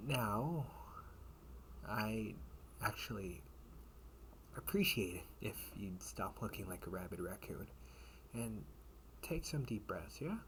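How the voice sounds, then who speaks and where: Through clear background noise comes a man playing a lowish-voiced, protective older brother in an audio roleplay. He speaks slowly and haltingly, a sardonic captor who mocks and threatens in a casual way, slipping into breathy asides. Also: a bit bright. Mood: mocking calm. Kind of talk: amateur audio roleplay acting, one-sided dialogue. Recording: noisy amateur home recording.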